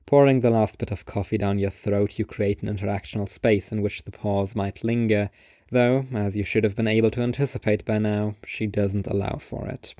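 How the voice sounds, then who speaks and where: A man's voice: lowish voice, fairly even intonation, moderate pace, warm tone, no room sound, studio quality. A man reading scripted literary narration as the narrator of a second-person story.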